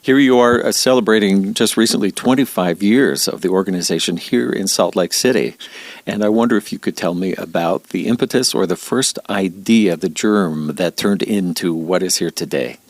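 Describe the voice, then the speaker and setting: A man hosting an interview, asking questions in a gentle, conversational way. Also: low-pitched, normal speed, dry recording. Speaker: interview host.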